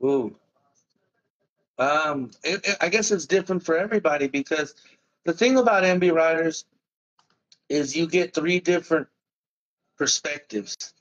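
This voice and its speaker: A man in a relaxed, casual interview, talking about his music in long, loose sentences. He throws in "man" and "bro" as he goes. The recording sounds a little dull and lo-fi. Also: on the high side, unhurried, pauses often. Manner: laid-back, talks in loose run-on sentences with "man" and "bro". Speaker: musician guest.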